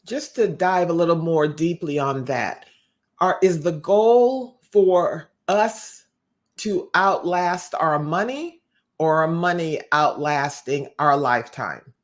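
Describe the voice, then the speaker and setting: A woman hosting an interview, asking reflective questions in a measured, somewhat formal way with careful phrasing. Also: low-pitched, expressive. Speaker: interviewer and host.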